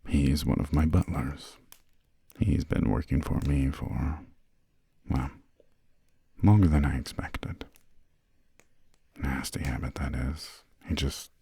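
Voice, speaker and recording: A man playing a refined, imperious vampire lord in an ASMR roleplay, speaking in a hushed, formal, slightly haughty way with a breathy, gravelly low voice. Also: dry recording, heavily acted. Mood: politely aloof. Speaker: employer briefing a new assistant.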